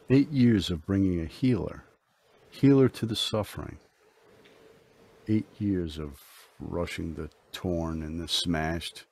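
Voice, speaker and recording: A man acts out a mysterious, fatherly holy comforter in a radio play. The voice is very deep and rough-husky. He speaks gently and solemnly, in measured, archaic phrases. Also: heavily acted. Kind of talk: radio drama acting.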